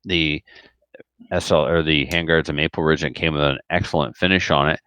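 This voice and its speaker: Man, very low pitch, clean resonant tone, casual podcast host, joking, dry sound. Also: steady tone, a little fast, sharp tone, clear, resonant tone, no room sound.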